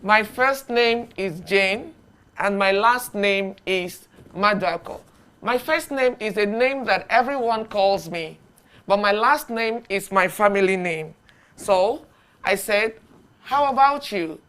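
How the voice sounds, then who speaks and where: A woman teaching a beginner English lesson, speaking deliberately and repeating short phrases for learners to echo. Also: medium pitch, fairly bright tone.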